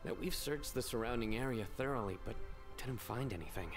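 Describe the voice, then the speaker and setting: An actor playing an earnest, weary search party member in a video game, with music behind. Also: male voice, medium pitch, a little fast, natural voice.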